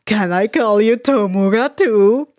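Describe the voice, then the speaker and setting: A woman's voice: mid-range voice, a little slow, a little mellow, no echo, natural voice. Young male villain female reader: sardonic, teasing, storybook voice, dry recording. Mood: mock sweet.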